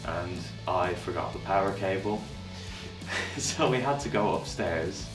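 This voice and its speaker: A twenty-two-year-old man, recounting things relaxed and hesitantly in an interview. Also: sits low, slow pace. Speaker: band's bass player. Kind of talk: documentary interview. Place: band practice room. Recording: echoey room, far from mic, music behind.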